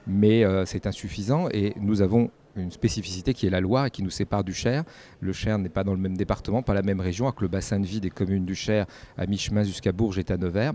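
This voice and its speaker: A man giving measured, explanatory spontaneous answers as a local politician in an interview. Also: fairly low pitch, warm tone. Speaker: mayor and head of a local authority, interviewee.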